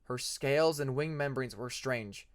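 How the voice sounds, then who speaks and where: A man narrating a fantasy story like an audiobook, in an expressive, dramatic manner. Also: medium pitch, measured pace. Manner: expressive, acts out dramatic passages. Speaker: fan fiction narrator.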